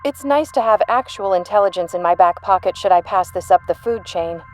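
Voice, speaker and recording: A woman's voice: medium pitch, lively intonation, brisk, soft background music, close to an everyday voice. A woman playing a shrewd, unflappable human intelligence general in an audio drama, composed, dry and authoritative. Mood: cool, assured.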